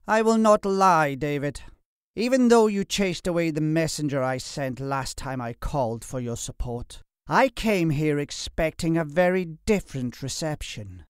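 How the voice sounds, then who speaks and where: A man's voice: mid-range voice, measured pace, few pauses, a little mellow, no echo, studio quality, natural voice. A man voicing an arrogant, contemptuous English lord with a haughty, sneering delivery in an audiobook. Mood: smug, scornful. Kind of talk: audiobook character acting.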